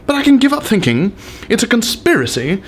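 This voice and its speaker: A man's voice: high-pitched, huge pitch swings, a little fast, husky. A man giving a pompous, theatrical dramatic reading of an essay with exaggerated emphasis.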